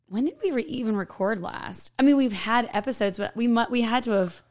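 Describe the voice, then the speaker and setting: A young woman with a raspy, gravelly voice, chatting animatedly as a podcast co-host while getting over hoarseness. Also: on the high side, a little fast, a little mellow. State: hoarse, recovering voice. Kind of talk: casual chat.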